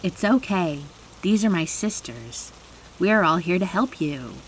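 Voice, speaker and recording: A woman voicing a kind, eager little fairy princess in a children's storybook, gentle, airy and reassuring. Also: on the low side, expressive, unhurried, slight background noise, pitched above the performer's own voice, poor audio. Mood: gentle, helpful.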